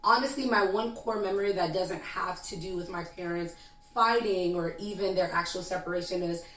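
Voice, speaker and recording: A woman from Haiti whose first language is Creole, chatting as an interview guest in a talkative, animated way with frequent laughs. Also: fairly low pitch, moderate pace, echoey room, recorded from a distance, poor audio. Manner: chatty, laughs often, animated when storytelling. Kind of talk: conversational interview.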